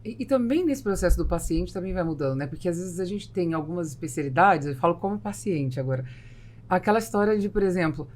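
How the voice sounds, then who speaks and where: A Brazilian woman hosting a business podcast, asking long questions and telling lively anecdotes. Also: lowish voice, fast talker, slight background noise. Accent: Brazilian Portuguese. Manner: animated, tells anecdotes, asks long questions. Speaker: podcast host.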